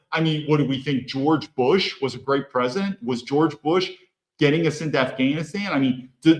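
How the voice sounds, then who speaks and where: A man hosts a solo commentary monologue. His voice sits a little high for a man and has a blunt, opinionated edge. He keeps asking rhetorical questions, and the room around him is echoey. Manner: rhetorical, peppers talk with questions. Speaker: host.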